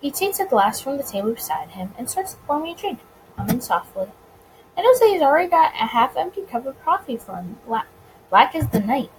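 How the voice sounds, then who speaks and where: A woman narrates a visual novel as a let's player in a high, lively voice. She reads expressively and now and then trips over a word, with clear background noise. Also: brisk. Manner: stumbles over words and rereads them. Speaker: video game let's player.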